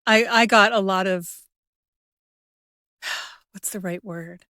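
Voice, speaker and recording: A woman's voice: medium pitch, takes it slowly, pushed and bright, no echo, clean studio sound. A woman speaking as the host of a conversational interview podcast. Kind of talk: scripted introduction and conversational interview.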